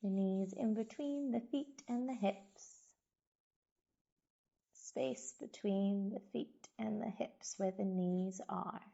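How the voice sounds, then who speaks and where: Slow, gravelly-edged woman guiding meditation. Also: medium pitch, highs cut off. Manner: soothing, unhurried, gentle instruction with long pauses. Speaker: yoga and meditation guide. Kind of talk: guided body scan meditation.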